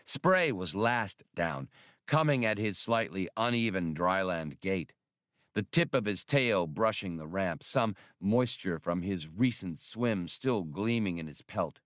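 A man reads scripted fiction in a low voice. His delivery moves widely in pitch from phrase to phrase. The sound is dry, with very little room in it. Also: lively intonation, measured pace, a bit bright, dry recording. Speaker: audiobook reader.

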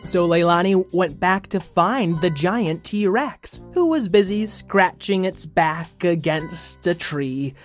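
A man with a creaky voice and an excitable, theatrical manner, hosting and acting out an improvised adventure story for children. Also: high voice, slow, hardly any pauses, faint music behind. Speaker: host and storyteller. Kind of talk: improvised kids' adventure story, narrated and acted.

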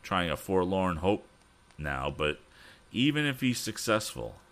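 A man voicing a grave, weary father and banker in a storybook, measured and resigned. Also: low voice, big pitch swings, fairly bright tone, exaggerated voice. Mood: grave, worried. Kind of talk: audiobook character voice.

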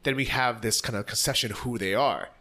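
An American man hosts a casual conversation, talking fast and bluntly. His voice is bright and forceful. He acts out other people's lines with energy as he tells his stories. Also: medium pitch. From United States. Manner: blunt, energetic storytelling with acted-out quotes.

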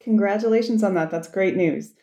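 A woman's voice: mid-range voice, a little fast, dark tone. A woman hosting an interview podcast, effusive and enthusiastic as she praises her guest's book. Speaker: podcast host.

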